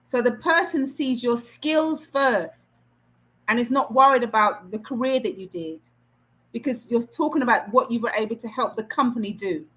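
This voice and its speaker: A woman's voice: a little high, moderate pace, sharp tone, low-quality recording. A middle-aged woman from Trinidad with a Trinidadian accent, answering questions as a career coach in an online session in an earnest, encouraging way. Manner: encouraging, earnest advice-giving.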